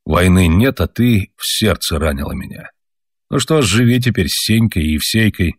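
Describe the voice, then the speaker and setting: A man voicing a bitter, wounded soldier father who reproaches his family and shouts at them. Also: low-pitched, lively intonation, moderate pace, dry recording, studio quality.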